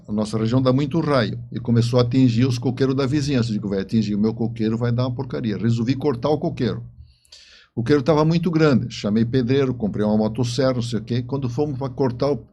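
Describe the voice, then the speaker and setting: A middle-aged man with a southern Brazilian accent and a soft, dark tone, telling stories as an interview guest. He halts and repeats words as he goes. There is some steady noise behind him. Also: mid-range voice. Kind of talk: conversational storytelling.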